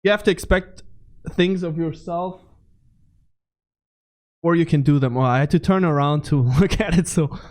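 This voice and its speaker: A man's voice: on the high side, normal speed, long pauses. A man co-hosting a casual podcast, enthusiastic and rambling, laughing often. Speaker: podcast co-host. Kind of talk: casual chat.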